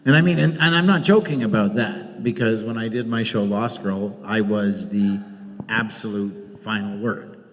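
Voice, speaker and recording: A man's voice: lowish voice, moderate pace. A man, a TV producer on a live panel, telling work anecdotes in an emphatic, conversational way. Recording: echoey hall with long reverb.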